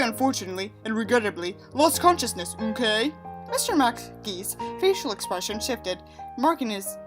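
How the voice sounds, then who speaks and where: A woman reading a book aloud and adding sarcastic, exasperated, emphatic asides of her own. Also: on the high side. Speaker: audiobook reader and commentator.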